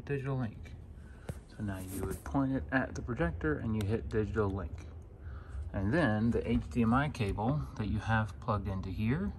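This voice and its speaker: A man giving a casual hands-on walkthrough as an instructor, in short plain step-by-step instructions, recorded on a handheld phone in an indoor booth. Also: lowish voice. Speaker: instructor demonstrating equipment. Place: auditorium control booth, indoors. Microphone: handheld phone filming the equipment. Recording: noisy handheld phone recording with room ambience.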